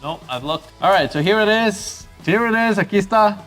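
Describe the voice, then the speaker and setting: A man from Costa Rica hosting his photography channel in a chatty, upbeat way, with bursts of excitement. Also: high-pitched, moderate pace, faint music behind. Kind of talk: casual YouTube presenting.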